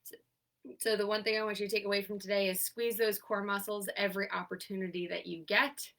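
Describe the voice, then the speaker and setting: Young woman instructor, stuffy nose, bright gravelly voice, a bit far from the mic, upbeat counting down stretches. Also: medium pitch, little pitch movement, fairly quick. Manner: upbeat, encouraging, counts down holds. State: congested, stuffy from sinus trouble. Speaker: occupational therapist leading seated exercise class. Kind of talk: instructing an online exercise class.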